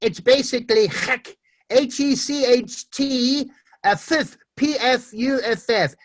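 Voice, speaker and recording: A man doing a put-on as a boastful tourist, improvising over a video call. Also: takes it slowly. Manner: booming, know-it-all, deadpan tall tales. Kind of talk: improv comedy.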